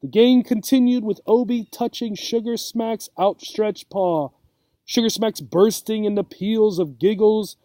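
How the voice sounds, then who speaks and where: A man reading a children's storybook aloud as a teacher, expressive and checking in with listeners. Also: high voice, unhurried, dry recording. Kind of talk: storybook read-aloud for English learners.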